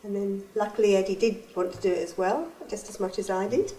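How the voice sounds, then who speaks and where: A woman's voice: mid-range voice, quick pace, dark tone, slight background noise. A woman chatting about hosting refugees, often checking for agreement with tag questions. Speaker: refugee host. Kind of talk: casual conversation. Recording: roomy echo.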